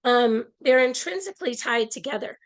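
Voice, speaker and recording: Slightly hoarse woman presenting a workshop. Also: high-pitched, lively intonation, normal speed. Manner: earnest, explanatory, with frequent restarts. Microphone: earbud mic on a video call.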